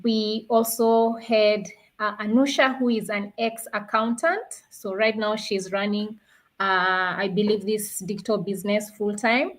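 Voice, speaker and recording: A woman's voice: medium pitch, fairly even intonation, a little slow. A woman from Kenya co-hosting a live online talk and presenting to viewers. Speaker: co-host of an online business talk show.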